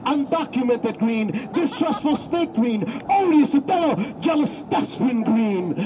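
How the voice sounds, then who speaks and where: A man performs spoken-word poetry outdoors in a city, his voice pitched unusually high for a man. He declaims in bursts, often shouting, and sweeps between loud and soft, fast and slow. Steady traffic noise runs behind him. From Ecuador. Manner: declamatory, often shouting, dramatic swings in loudness and pace.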